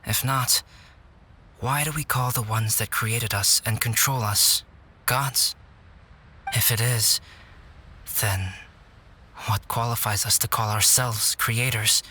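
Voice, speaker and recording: A man playing a calm, guarded young alchemist in a video game, speaking in a measured, reflective and articulate way with a touch of melancholy. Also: mid-range voice, breathy edge, exaggerated voice. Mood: pensive, melancholy. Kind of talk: video game cutscene acting, storytelling.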